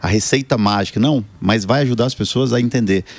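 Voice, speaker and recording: A man's voice: mid-range voice, fast, no room sound. A man in his forties from Brazil, a guest author talking earnestly about his book and life, often ending with "né?". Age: 40s. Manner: earnest, motivational, many "né?" tags. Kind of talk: casual talk show chat.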